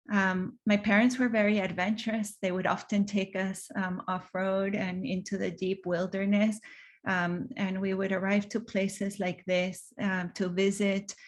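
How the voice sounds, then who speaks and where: Soft-spoken woman filmmaker from Venezuela, flat delivery. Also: on the low side. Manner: soft, with many ums. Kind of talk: online presentation. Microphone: video call.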